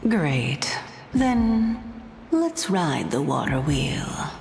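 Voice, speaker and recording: Slow, teasing, enigmatic woman sage. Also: sits low, big pitch swings, vocal fry, darkish tone, slight background noise, heavily acted. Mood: teasing, persuasive. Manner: coy, condescending, theatrical, unhurried. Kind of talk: video game voice acting. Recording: long hall-like echo, music underneath. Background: game music.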